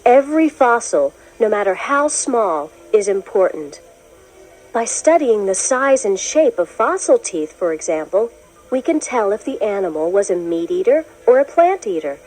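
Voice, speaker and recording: A woman reading a children's science book as scripted narration, in a clear, expressive storytelling manner. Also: medium pitch, moderate pace, faint music behind, rough recording. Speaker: narrator of a children's educational read-along.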